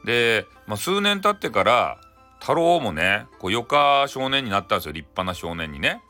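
A man's voice: mid-range voice, big pitch swings, moderate pace, bright, forceful tone. A man with a Hakata accent, chattily retelling a folktale in an informal, humorous way. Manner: chatty, many tag particles. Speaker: storyteller. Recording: music bed behind voice. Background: music.

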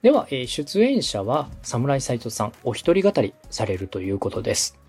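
Male podcast coach: quick, lively pitch swings, slightly husky, polite explainer, light music behind. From Osaka, Japan. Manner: explanatory, polite, organized step-by-step advice. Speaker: podcast host and radio director advising a beginner.

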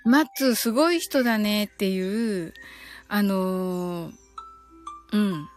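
A woman hosting a casual livestream, talking to listeners in short, halting phrases with many fillers and tags, in a chatty, giggly way. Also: mid-range voice, soft background music. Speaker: livestream host. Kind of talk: casual livestream chat, greeting listeners and reading comments.

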